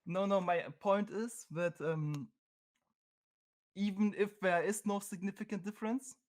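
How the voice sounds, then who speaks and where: A man's voice: high-pitched, steady tone, unhurried. A man from Germany with a German accent, a non-native English speaker debating on a call, hesitant and searching for words. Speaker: caller.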